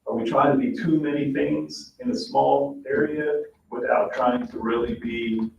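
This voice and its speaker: A mature man thinking aloud in an informal meeting, tentative and halting as he puts questions. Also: mid-range voice, fairly even intonation, creaky, a little mellow. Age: middle-aged or older, a grandfather. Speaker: redevelopment commission meeting participant. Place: meeting room, mic at a distance. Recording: roomy, distant, dull, faint noise.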